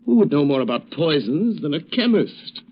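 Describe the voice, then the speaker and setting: An actor playing a resolute, protective gentleman detective with firm, dramatic old radio delivery. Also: on the high side, sing-song, normal speed. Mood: intent, protective. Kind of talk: 1930s radio drama acting. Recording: dull, noisy old radio recording.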